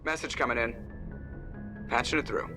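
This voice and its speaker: An actor playing a brisk, dutiful ship crewman relaying messages in a video game. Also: medium pitch, far from the mic, over music, close to an everyday voice. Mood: businesslike. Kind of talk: video game dialogue acting.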